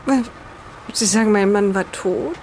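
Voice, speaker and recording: A woman plays an indignant, shaken wife in an audio drama, mid-pitched, with halting, emotional speech. She sounds reproachful yet clings to hope. There is some noise behind the voice. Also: a little mellow, close to an everyday voice. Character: shocked, reproachful wife clinging to hope about her husband. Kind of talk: improvised in-character audio drama dialogue.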